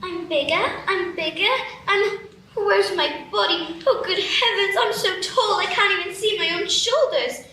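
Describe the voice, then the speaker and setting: Lady playing a little girl, prim and polite, high bright voice, echoey stage. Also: measured pace, full character voice. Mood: flustered. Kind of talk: live radio play acting. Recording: roomy stage from a distance, faint hiss.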